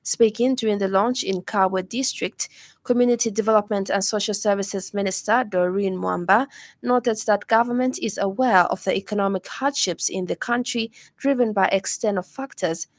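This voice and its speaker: A woman's voice: medium pitch, moderate pace, no room sound. A woman with a Zambian accent formally reading a scripted radio news bulletin in a studio.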